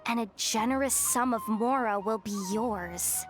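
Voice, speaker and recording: A woman's voice: on the high side, measured pace, lightly acted. A woman playing a level-headed, pragmatic young adventurer in a video game. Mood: measured reading. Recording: game music behind.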